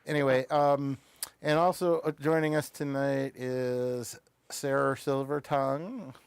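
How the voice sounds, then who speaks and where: A man presents a live show informally, with halting delivery. His voice is smooth and clean at an average pitch, and the sound is dry. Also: steady tone, no echo. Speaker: show host and audio play narrator.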